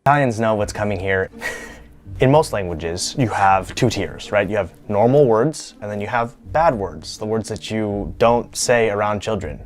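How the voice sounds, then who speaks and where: A man's voice: lowish voice, expressive, measured pace. A young man from the United States presenting a scripted vlog in a playful, lightly joking manner. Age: young adult. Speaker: YouTube creator.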